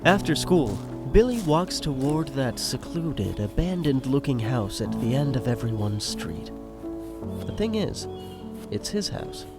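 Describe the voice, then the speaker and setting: A man's voice: mid-range voice, very animated, measured pace, over loud music. A man playing the wry, dramatic narrator of a scripted audio drama.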